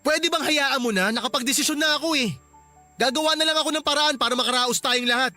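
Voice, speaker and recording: Casting: young Filipino husband for radio drama, high voice, torn and pleading, music behind. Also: male voice, quick pace, bright, forceful tone, no echo, heavily acted. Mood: firm, pained. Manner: hesitant, pleading, defensive. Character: conflicted young husband, apologetic, loyal to his brother.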